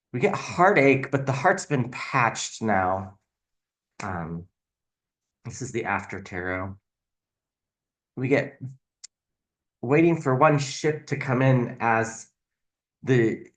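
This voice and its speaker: A man casually reading tarot cards as a channel host, speaking in a halting, thinking-aloud way and offering speculative commentary. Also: mid-range voice.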